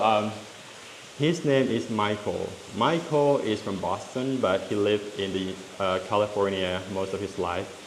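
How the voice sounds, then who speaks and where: A Lao man in his thirties with a Lao accent, explaining things in a casual vlog with frequent fillers and restarts. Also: lowish voice. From Laos. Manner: talks in halting stretches with many fillers and restarts. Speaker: vlogger. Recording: echoey room with long reverb and faint hiss.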